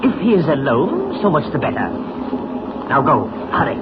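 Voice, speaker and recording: An actor playing a cunning criminal mastermind in a 1940s radio serial, speaking formally and precisely with cool authority. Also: male voice, fairly high pitch, huge pitch swings, normal speed. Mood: cool, commanding. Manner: formal, precise, coolly imperious. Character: smooth, cunning, commanding male criminal mastermind. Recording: muffled, very noisy vintage radio recording.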